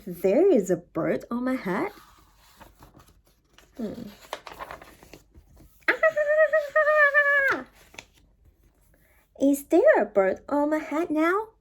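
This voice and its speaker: A woman's voice: very high-pitched, wildly swooping pitch, extremely slow, pauses often, fairly bright tone, pitched above the performer's own voice, exaggerated voice. A woman voicing an anxious, exasperated elephant from a children's storybook, full of incredulous questions. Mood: alarmed.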